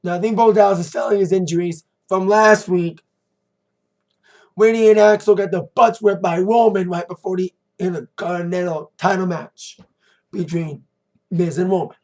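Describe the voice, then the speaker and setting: An American man with a slightly hoarse voice, giving a rambling, informal and emphatic recap as a wrestling review vlogger. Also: high voice, steady tone, normal speed, a little mellow. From United States. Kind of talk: casual recap commentary to camera.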